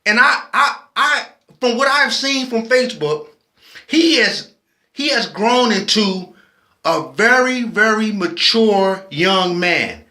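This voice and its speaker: A late-forties man, talking in a very high, bright voice. He is impassioned and preachy, speaking slowly and often restarting his sentences. The sound carries a roomy echo. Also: animated. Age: 40s. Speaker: vlogger. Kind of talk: personal video commentary.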